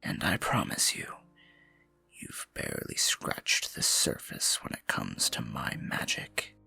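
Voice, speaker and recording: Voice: very deep voice, very animated, takes it slowly, creaky, pushed and bright, husky, faint music behind, heavily acted. An actor voicing a scheming evil royal advisor with magic, speaking in a silky, mocking, condescending way for an ASMR fantasy roleplay. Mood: silky menace. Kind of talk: ASMR fantasy roleplay, intimate theatrical monologue.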